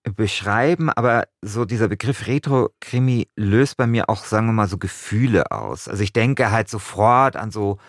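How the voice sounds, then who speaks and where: A man's voice: on the low side, moderate pace, hardly any pauses, no echo, studio quality. A man speaking as a podcast co-host, moving between casual chat and scripted narration.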